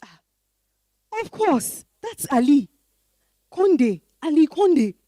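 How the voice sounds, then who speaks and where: A woman with a Ghanaian accent playing an independent, frustrated professional wife in an expressive dramatized stage reading. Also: high-pitched, slow pace, no room sound, clean studio sound, full character voice. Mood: excited.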